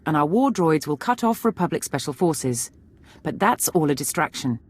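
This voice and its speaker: Low-voiced, commanding army officer. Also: female voice, darkish tone. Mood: resolute. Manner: crisp military briefing delivery. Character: brisk, authoritative female Imperial major giving briefings. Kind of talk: video game military acting.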